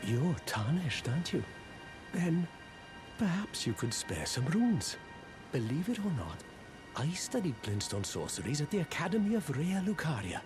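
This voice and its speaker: An actor playing a humble, hapless apprentice sorcerer in a video game, apologetic, self-deprecating and hesitant. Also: male voice, on the high side, big pitch swings, normal speed, music in the background. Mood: meek, grateful. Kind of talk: video game character dialogue.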